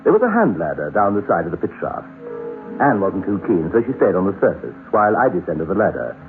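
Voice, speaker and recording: A man's voice: low voice, big pitch swings, quick pace, faint music behind. A man playing a curious, confident geologist husband in an old-time radio drama, speaking expressively. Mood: matter-of-fact. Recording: dull, narrow old radio recording.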